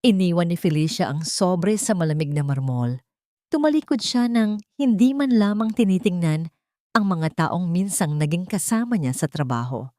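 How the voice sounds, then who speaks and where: A woman's voice: fairly low pitch, animated, normal speed, darkish tone, no room sound, clean studio sound. A woman narrator reading a scripted, dramatic story as an audio drama.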